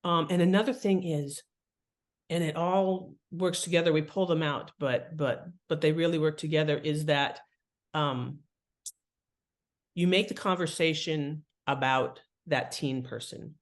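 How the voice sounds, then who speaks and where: A woman guest explaining her craft in conversational interview talk, with an animated manner. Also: low voice, a little slow. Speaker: guest, fiction writing author.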